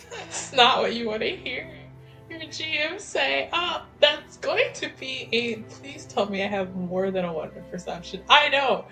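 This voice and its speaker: A woman's voice: high-pitched, unhurried, faint music behind, rough recording. A woman playing a tabletop game, chatting casually and expressively about what her character does. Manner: expressive, sweary, informal.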